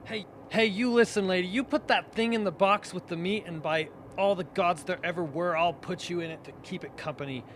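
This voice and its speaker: A man's voice: high voice, brisk. A man playing a cranky, blustering expedition cook in a radio play, indignant in manner. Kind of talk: dramatic radio play acting. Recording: clear steady background noise.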